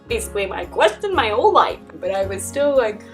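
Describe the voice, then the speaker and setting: A young American woman with a fairly high voice tells personal stories in an animated, casual vlog style. She sounds a little away from the mic, with soft music behind her. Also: a little fast. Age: young adult. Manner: animated, acts out quoted conversations. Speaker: vlogger telling personal stories. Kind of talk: casual chat to camera. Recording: distant mic, background music. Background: acoustic folk instrumental music.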